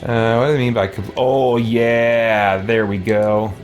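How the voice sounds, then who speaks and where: A man's voice: medium pitch, steady tone, slow. A man doing casual let's play commentary, thinking aloud in a tentative, musing way as he plays. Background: game music.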